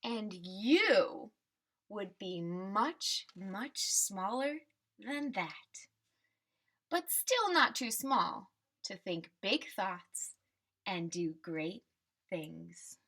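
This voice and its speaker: A woman reading a children's picture book aloud and explaining it simply, in a deliberate teaching manner. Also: medium pitch, sing-song, sharp tone.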